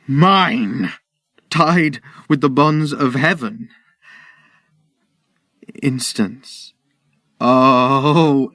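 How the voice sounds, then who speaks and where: An actor playing a heartbroken, disbelieving young Trojan prince, halting and declamatory in Shakespearean verse. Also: male voice, fairly high pitch, bright, forceful tone, dry recording, studio quality, exaggerated voice. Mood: anguished. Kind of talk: theatrical Shakespearean verse acting.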